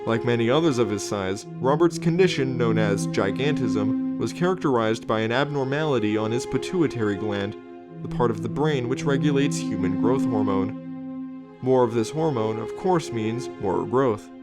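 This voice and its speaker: A man's voice: medium pitch, moderate pace. Man narrating a documentary over music. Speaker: host and narrator. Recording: music under the voice. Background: background music.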